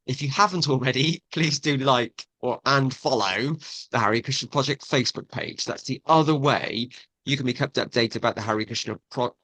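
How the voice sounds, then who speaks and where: A man's voice: mid-range voice, sharp tone, dry recording, low-quality recording. A man hosting a podcast, opening the episode in an upbeat, chatty way with small restarts. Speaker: podcast host. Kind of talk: podcast introduction.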